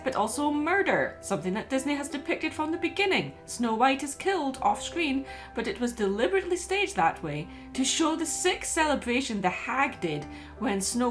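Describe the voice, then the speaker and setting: A woman host reading a scripted video essay in an opinionated, emphatic way. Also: medium pitch. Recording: music playing behind the voice.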